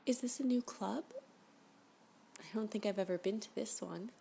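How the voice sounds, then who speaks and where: A woman playing a flirty, obsessive stalker in an ASMR roleplay, breathy and often whispering, with a coy, smitten manner. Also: medium pitch, warm tone, a little hiss or hum, close to an everyday voice. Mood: flirty, reassuring. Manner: coy, slow, unhurried, with giggly asides.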